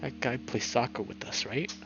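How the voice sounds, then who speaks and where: A frightened young lynx from a visual novel, as a man voices him. He speaks timidly in halting, stammered phrases, as if shivering. Music is clearly heard behind the voice. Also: mid-range voice, muffled recording, natural voice. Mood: shaken, timid.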